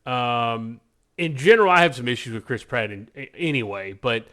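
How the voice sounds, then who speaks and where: A man's voice: mid-range voice, normal speed, a bit bright. Enthusiastic man in casual podcast chat. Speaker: podcast co-host.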